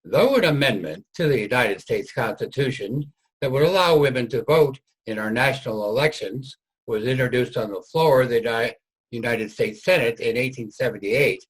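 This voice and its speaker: Husky male narrator. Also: mid-range voice, animated, moderate pace, poor audio. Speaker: narrator and writer of the play. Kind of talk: scripted historical narration.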